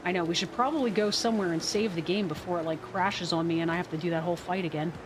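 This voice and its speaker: A woman's voice: on the low side, steady tone, fast talker, noisy background. A woman streaming a game live, thinking aloud as she plays and answering her chat. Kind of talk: casual live gameplay commentary. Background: game music.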